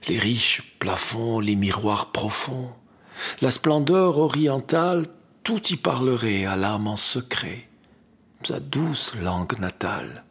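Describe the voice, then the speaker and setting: A man with a strikingly husky, breathy voice recites classic verse. He takes his time over each phrase, with gravel in the tone and hushed touches that sink toward a whisper. The delivery is dramatic and deliberate. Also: mid-range voice, expressive. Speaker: poetry reader.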